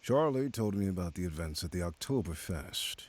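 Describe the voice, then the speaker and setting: A smug, menacing male crime boss for a noir video game. The voice is very deep and husky. He talks down to listeners in cryptic, measured phrases with lively swings of pitch. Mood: condescending. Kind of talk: scripted video game voice acting.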